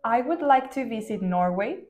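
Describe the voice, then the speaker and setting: A woman in her twenties, a native Spanish speaker, giving steady, thoughtful answers in a practice speaking exam. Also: on the high side, normal speed. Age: 20s. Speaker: student, exam candidate. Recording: echoey room.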